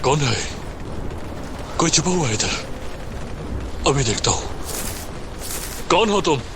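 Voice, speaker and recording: A man's voice: a little high, big pitch swings, slow pace, background noise. An actor playing a loyal, deferential male servant on a refugee trek in an audio drama. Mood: wary. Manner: deferential, addresses his leader respectfully. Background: music score.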